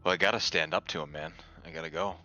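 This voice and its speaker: A man's voice: sits low, fairly even intonation, quick pace, bright, forceful tone, dull audio, faint music behind. A man playing a tabletop game, brash and combative, arguing in character and joking. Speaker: player.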